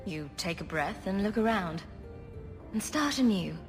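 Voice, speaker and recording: Witty mage heroine: mid-range, dryly teasing, game cutscene with music behind. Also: female voice, mid-range voice, lively intonation, measured pace. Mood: teasing, supportive. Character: witty, self-assured apostate mage adventurer woman. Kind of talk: dramatic video game acting. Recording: long reverb, music behind.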